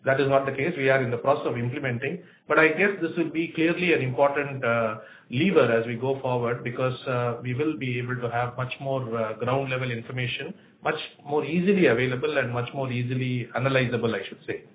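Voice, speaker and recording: Company executive on an echoey phone line. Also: male voice, mid-range voice, brisk, few pauses. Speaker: company executive answering analysts. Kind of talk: earnings call answers, spoken off the cuff. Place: room on a conference call. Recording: roomy, narrow phone-like line with faint noise.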